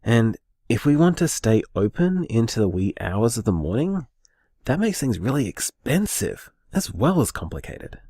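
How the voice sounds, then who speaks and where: A man's voice: mid-range voice, sing-song, moderate pace, mellow, husky, dry recording, studio quality. A man playing an anxious, affectionate boyfriend in an audio drama, speaking in a hesitant, earnest, informal way. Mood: tentative. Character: anxious, affectionate, conscientious boyfriend planning a bar. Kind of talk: naturalistic audio drama monologue.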